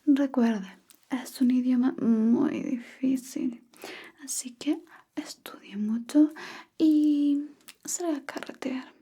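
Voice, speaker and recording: A woman whispering softly and playfully as a language tutor in an ASMR roleplay. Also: high-pitched, breathy edge. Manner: soft whisper, playful teasing.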